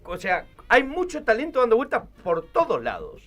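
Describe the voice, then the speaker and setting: A man's voice: very high-pitched, huge pitch swings, normal speed, a bit bright, soft background music. An Argentine man hosting a casual interview, talking emphatically and piling up synonyms as he rephrases. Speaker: interviewer, show host.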